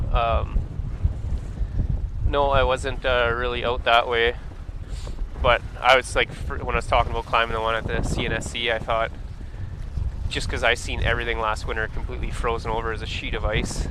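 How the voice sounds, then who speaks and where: Male boat host, relaxed flat chatter over wind and motor. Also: medium pitch. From southern Alberta, Canada. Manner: relaxed, easygoing, filler-heavy. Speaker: boat driver and live-cam host. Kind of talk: casual chat on a live stream. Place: outdoors on a small boat on a river. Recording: wind and boat engine noise.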